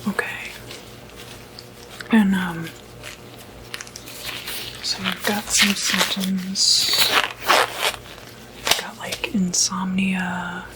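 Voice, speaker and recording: A woman speaking in a breathy whisper, softly reassuring and hesitant, in an ASMR medical roleplay as clinic staff. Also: medium pitch, little pitch movement, very slow, long pauses. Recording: noticeable background noise, ambient sound.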